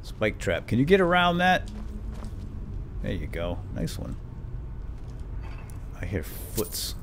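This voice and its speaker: A man's voice: fairly low pitch, huge pitch swings, slow pace, noisy background. A man giving playful, lightly role-played let's play commentary, chatting to his in-game companion.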